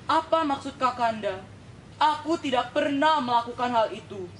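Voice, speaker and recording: A woman's voice: sits high, lively intonation, moderate pace, recorded from a distance, slight background noise. A young woman playing a humble, innocent young village man in a folktale, earnest and respectful. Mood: innocent, protesting.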